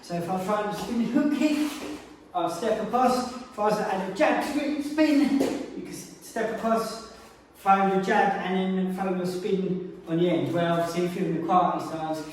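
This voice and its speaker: A man's voice: sits high, normal speed, a little hiss or hum. A man who is a martial arts instructor, giving a casual spoken lesson on technique and explaining while he demonstrates the moves. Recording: very echoey room, mic far off.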